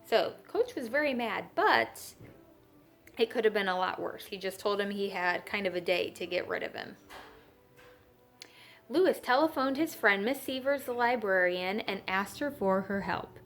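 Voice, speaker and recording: A woman's voice: mid-range voice, lively intonation, unhurried, fairly bright tone, faint music behind. A woman teacher reading a children's story aloud and adding simple explanatory asides.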